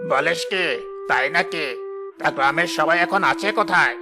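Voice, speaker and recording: A man's voice: on the high side, normal speed, gravelly, over music. A man voicing a greedy, scheming rich village headman in a cartoon moral tale, with a smug, gloating manner. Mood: feigned concern. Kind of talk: animated moral story voice acting.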